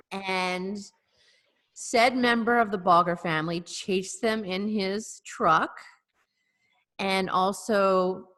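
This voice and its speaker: A woman's voice: medium pitch, pushed and bright. A woman co-hosting a podcast over a video call, telling a story in a hesitant, wry way with many fillers. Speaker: podcast co-host. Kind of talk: casual storytelling chat.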